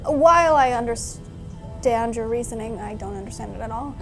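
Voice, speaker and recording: High-voiced woman, pointed and argumentative. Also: moderate pace, fairly bright tone. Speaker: tabletop role-playing player. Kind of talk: in-character group discussion. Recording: background music under the voice.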